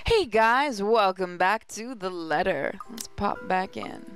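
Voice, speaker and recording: A woman's voice: medium pitch, expressive, slow, faint music behind. A woman hosting a gaming channel, reading a visual novel aloud with playful, sarcastic asides.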